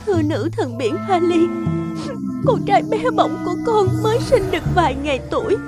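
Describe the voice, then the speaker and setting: Very high-voiced distraught young mother. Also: lively intonation, moderate pace. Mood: desperate pleading. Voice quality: tearful. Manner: pleading, humble. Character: distraught young mother pleading for her sick baby son. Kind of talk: animated fairy tale voice acting. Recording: loud music under the voice.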